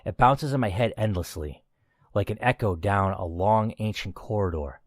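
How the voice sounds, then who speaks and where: A man's voice: fairly low pitch, moderate pace, no room sound, clean studio sound. A man reading a first-person game story aloud as the player, in a dramatic narrating style.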